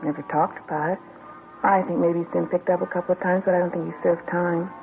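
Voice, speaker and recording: A woman's voice: lowish voice, little pitch movement, quick pace, soft background music, lightly acted. An actress playing a guarded female informant in an old radio drama, blunt and clipped. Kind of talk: 1950s radio drama acting. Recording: tinny lo-fi old radio broadcast.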